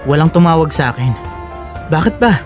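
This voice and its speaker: A woman puts on a mild husband for an audio drama, dropping well down in pitch. He sounds puzzled and unsuspecting as he asks his questions. Soft music runs underneath. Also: animated, moderate pace. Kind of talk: Filipino audio drama acting.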